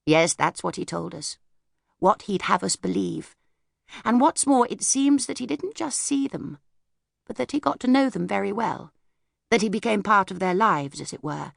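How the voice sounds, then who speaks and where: A woman voicing a reserved, wistful older lady in an audiobook, speaking gently and hesitantly as she looks back. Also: medium pitch, lively intonation, normal speed, creaky, no echo, studio quality, pitched above the performer's own voice. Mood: reflective. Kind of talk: audiobook character voice.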